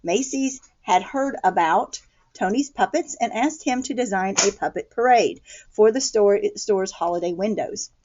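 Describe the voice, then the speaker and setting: A woman with an American accent reading a picture book aloud to children, pausing to explain things in simple words. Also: mid-range voice, a little mellow, lo-fi sound.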